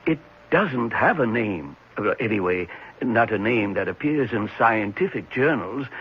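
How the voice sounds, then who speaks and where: A man playing a thoughtful, assured psychiatrist in a 1970s radio drama, explaining things in a measured, reflective way with some hesitation. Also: mid-range voice, slightly rough, exaggerated voice. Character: thoughtful, assured male psychiatrist at a private clinic. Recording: muffled, noisy 1970s radio recording.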